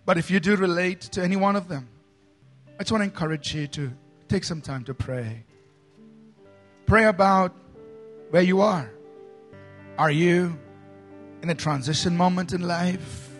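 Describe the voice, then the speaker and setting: A man from India, a pastor preaching a sermon with dramatic swings between loud emphasis and soft asides. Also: high voice, unhurried, long pauses, soft background music.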